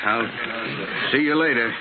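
A man's voice: mid-range voice, lightly acted. An actor playing a sly, prying American townsman in a 1950s radio western, with a needling manner. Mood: sly, probing. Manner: slow, needling. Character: sly, prying male Old West townsman. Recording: muffled old radio, loud crowd.

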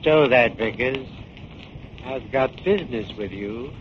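A menacing seaman killer, acted by a man in a 1940s radio thriller. He barks curt threats in a mid-pitched voice. The old recording is dull and heavy with noise. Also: unhurried, natural voice. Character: menacing gruff seafaring killer holding a woman captive. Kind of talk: 1940s radio crime drama acting.

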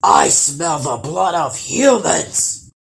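Menacing one-eyed giant troll, male, roaring and bullying, very animated storybook villain played by a woman. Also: low voice, takes it slowly, gravelly, faint music behind, exaggerated voice. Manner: roaring, threatening.

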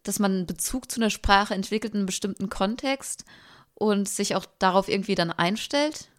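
A young woman co-hosting a podcast, talking in a reflective, casual way and pausing to search for her words. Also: medium pitch. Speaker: podcast co-host. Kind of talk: casual conversation.

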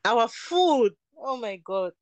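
A woman's voice: on the high side, lively intonation, slow, dry recording. A young woman from Cameroon, a beauty entrepreneur, talking candidly in an interview, emphatic and repeating her points. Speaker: guest, beauty product entrepreneur. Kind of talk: candid casual interview talk.

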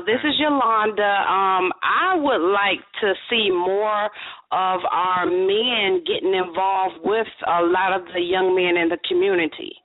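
A woman's voice: medium pitch, no echo. A woman from Virginia speaking casually as a panelist on a call-in talk show. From Portsmouth, Virginia, United States. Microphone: phone conference call. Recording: dry narrow phone-like sound.

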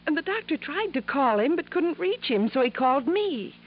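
A woman's voice: high voice, big pitch swings, normal speed. An actress playing an earnest, devoted young American niece in a 1950s radio drama, pleading emotionally for her uncle. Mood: tearful, urgent. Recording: dull, noisy 1950s radio recording.

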